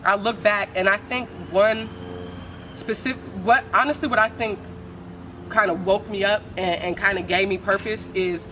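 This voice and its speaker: A young woman from Oakland with a deep voice, speaking candidly as a poet guest in a casual interview, full of slang and swearing. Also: steady tone, normal speed, noisy background. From Oakland, California.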